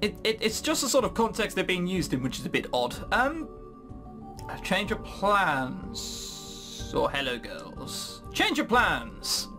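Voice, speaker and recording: A very animated gaming host with a mid-pitched voice gives casual, sardonic commentary. The delivery swings up and down in incredulous, sweary asides, with faint music underneath. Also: takes it slowly. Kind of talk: casual let's play commentary, reading game dialogue aloud.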